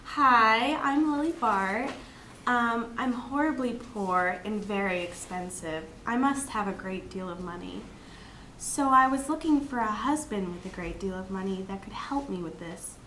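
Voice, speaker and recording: A woman's voice: mid-range voice, expressive, measured pace, sharp tone. A woman playing a vain, money-hungry socialite fallen on hard times, in an amateur dramatic monologue. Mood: brisk, self-pitying. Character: vain, ruined socialite woman craving money, world-weary. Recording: echoey room, faint background noise.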